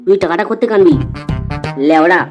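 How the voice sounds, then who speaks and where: Voice actor as pushy young guy in a comedy cartoon, needling his friends in slangy banter, clear music bed. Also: mid-range voice, fast talker, natural voice. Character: cheeky young male drinking buddy, pushy organiser.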